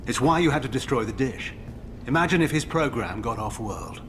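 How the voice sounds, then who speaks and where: An actor playing a defensive scientist in a video game, earnest and grave, explaining himself with emphasis. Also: male voice, mid-range voice, expressive, brisk, background noise, lightly acted. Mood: defensive, urgent. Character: defensive male scientist justifying his disastrous experiment. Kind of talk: dramatic video game dialogue acting.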